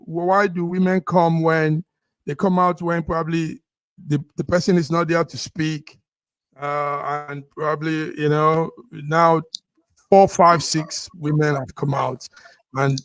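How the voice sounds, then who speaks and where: Male news host, fairly high pitch, close dry sound, halting delivery with repeated words. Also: steady tone, smooth, no room sound. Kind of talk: news discussion, unscripted presenting.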